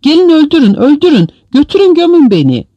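An anguished male prisoner losing his mind, played by a male audiobook reader. He wails loudly and desperately in a high voice with big swings of pitch. The recording is dry. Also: huge pitch swings, fairly quick, dry recording, highs cut off, full character voice. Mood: desperate wailing. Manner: loud, wailing, desperate cries. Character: anguished male prisoner, crazed, wailing laments and begging. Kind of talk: dramatized audiobook reading.

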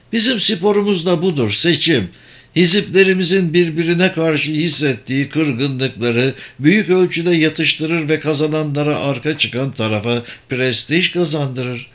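A man's voice: a little high, fairly bright tone. A man voicing a superior, persuasive sorcerer in an audiobook, speaking formally and insistently. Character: persuasive, superior sorcerer who runs secret political games.

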